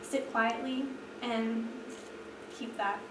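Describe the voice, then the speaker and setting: A woman's voice: fairly high pitch, steady tone, takes it slowly. A woman rehearsing as a trainee teacher, reading aloud and putting questions to a class. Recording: echoey, distant mic, clear background noise.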